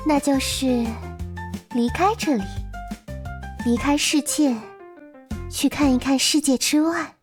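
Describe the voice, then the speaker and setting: A woman voicing a gentle, playful young girl companion in a surreal game, speaking softly and unhurriedly with a caring, teasing manner. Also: high voice, takes it slowly, pauses often, faint music behind. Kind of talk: visual novel game voice acting.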